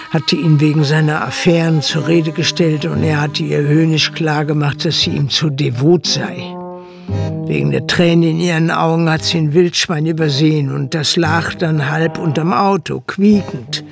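A man's voice: a little high, fairly even intonation, normal speed, creaky, over music. A man narrating a radio drama with a dry, wry storytelling manner. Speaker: narrator and village baker.

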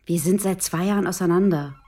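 An actress playing a guarded, matter-of-fact police detective of about forty in a naturalistic radio crime drama. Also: female voice, on the low side, warm tone. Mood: irritated, cutting. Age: around 40. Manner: matter-of-fact, brisk, cutting when provoked. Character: female police detective around 40, guarded, sharp-tongued.

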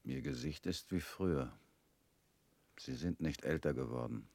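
An actor in a radio play voicing a reclusive former political defendant, calm, quiet and guarded. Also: male voice, rumbling low, a little slow, creaky, no room sound, full character voice.

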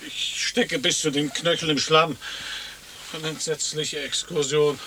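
An actor playing a squeamish gentleman complaining peevishly and with exaggeration in a German radio play. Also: a little high, steady tone, unhurried, a little hiss or hum. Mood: disgusted, irritated. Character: Balduin, squeamish, complaining city gentleman out in mud.

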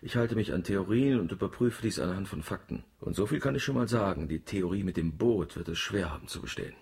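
A man's voice: deep voice, lively intonation, fairly quick, gravelly, darkish tone, breathy edge, full character voice. An actor playing a confident, womanizing scientist with a teasing, matter-of-fact manner in a German radio drama. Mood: analytical. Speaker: scientist examining animal carcasses.

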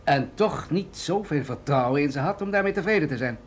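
An actor in a radio drama playing an authoritative military general, grave and deliberate as he explains things. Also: on the high side, animated, a little hiss or hum, lightly acted. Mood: earnest, persuasive.

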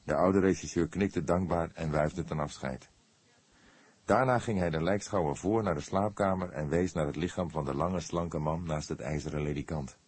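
A man's voice: low-pitched, normal speed, darkish tone, husky, no room sound. A man reading a crime novel aloud as an audiobook narrator, in scripted storytelling style.

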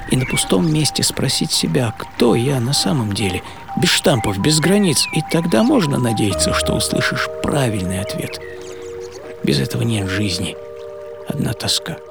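An actor playing a melancholy, bitter banker and mystic writer, telling his life in a theatrical radio monologue with dramatic pauses. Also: mid-range voice, very animated, gravelly. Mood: wry, reflective. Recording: loud music under the voice.

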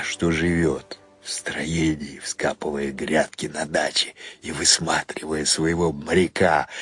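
A man with a low voice reads a short story as a radio narrator. He takes his time, pausing often and shifting emphasis as he tells it. Faint music plays behind him. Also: slightly rough, no echo. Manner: unhurried, with many pauses and shifting emphasis. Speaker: narrator reading prose for a radio audio play. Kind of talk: expressive literary narration, storytelling.

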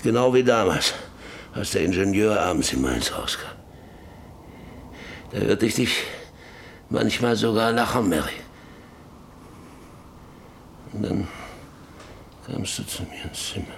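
Gravelly, brooding bedridden father. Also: lowish voice, animated, unhurried, long pauses, a little hiss or hum. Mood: weary, despairing. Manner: brooding, reproachful, repeating himself. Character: bitter, suspicious bedridden father of an oil-drilling family. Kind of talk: German radio play acting.

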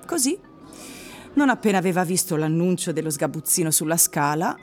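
A woman narrator reading literary prose for a radio drama in a measured storytelling manner. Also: lowish voice, very animated, darkish tone, soft background music.

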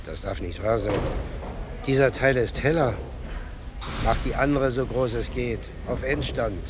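An actor playing a grumbling theatre lighting technician calling out terse work instructions in a naturalistic radio play. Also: male voice, mid-range voice, unhurried, background noise, lightly acted. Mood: grumbling, focused.

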